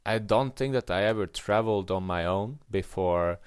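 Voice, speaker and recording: A young man with a Hungarian accent talks through his thoughts in a relaxed podcast conversation, often pausing and restarting. His voice sits fairly low and sounds smooth and clear. The recording is dry and close. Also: dry recording. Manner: reflective, halting with restarts. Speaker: podcast co-host. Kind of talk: casual conversation.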